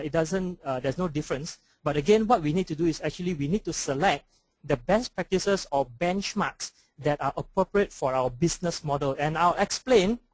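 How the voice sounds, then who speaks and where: A man's voice: fairly high pitch, no echo, poor audio. A man from Malaysia with a Malaysian English accent, giving a conference presentation with frequent hesitations. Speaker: conference speaker. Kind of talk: webinar presentation.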